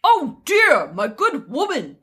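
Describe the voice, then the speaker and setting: A woman's voice: high-pitched, huge pitch swings, slow pace, a bit bright, voice pushed up, exaggerated voice. A woman playing a polite, nosy prince in a mock-genteel, formal manner for comic storytelling. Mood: mock concern. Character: polite, nosy young prince, theatrically courteous.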